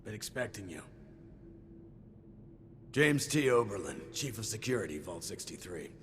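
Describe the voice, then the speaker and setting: Brisk formal vault security boss in a game, lowish voice, clipped, bit of background noise. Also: male voice, big pitch swings. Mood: businesslike. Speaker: security chief. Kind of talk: scripted video game dialogue.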